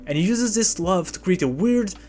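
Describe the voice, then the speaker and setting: A man's voice: sits high, animated, moderate pace, a little mellow, soft background music. A man hosting a scripted commentary video, talking with dry sarcastic jokes. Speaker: manga video essayist.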